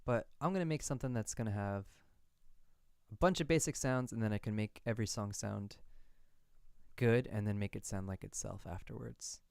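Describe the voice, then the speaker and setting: A man's voice: lowish voice, moderate pace, vocal fry, darkish tone, smooth, dry recording, studio quality. A man chatting casually on a livestream while producing music, thinking aloud in an informal way. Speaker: music producer live streaming.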